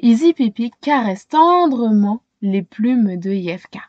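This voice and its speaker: A woman reading a children's storybook aloud with expressive, dramatic narration, as if to young listeners. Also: on the high side, slow pace, dry recording, highs cut off. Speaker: storytime reader.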